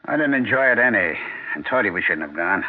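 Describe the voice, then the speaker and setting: Actor as a sullen, swingy-voiced paranoid husband. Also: male voice, fairly low pitch, animated, fast talker, background noise, lightly acted. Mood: sullen, irritable. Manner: brooding, defensive, bitter. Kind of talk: 1970s radio drama acting. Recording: narrow tinny old radio broadcast.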